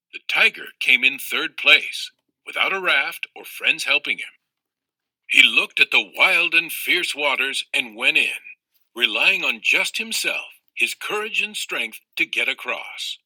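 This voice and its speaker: A man voicing a patient, reflective storybook father who explains things in a measured, reassuring way. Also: mid-range voice, bright, forceful tone, no echo, exaggerated voice, poor audio. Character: patient, reflective father advising his young child.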